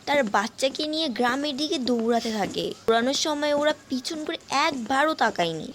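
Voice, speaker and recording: A woman's voice: sits high, a bit bright. A woman narrator telling a cartoon animal fable in a scripted storytelling style. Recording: noticeable background noise.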